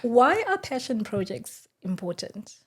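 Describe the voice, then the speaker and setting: A woman's voice: a little high, sing-song, a little slow, husky. A woman hosting a casual podcast, asking questions and affirming her guest. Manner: warm affirming listener, asks questions. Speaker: podcast host. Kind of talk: casual interview chat.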